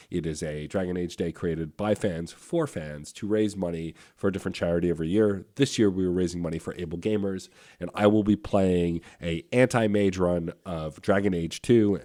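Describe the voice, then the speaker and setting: A man hosting a podcast interview, hoarse from a cold, talking with eager enthusiasm. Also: low-pitched, mellow, dry recording, clean studio sound. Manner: enthusiastic, gushing praise. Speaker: podcast host.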